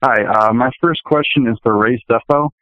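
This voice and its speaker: A man's voice: medium pitch, normal speed, no echo. A man asking questions as a reporter on a press conference call, heard over a telephone. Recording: narrow, tinny phone line.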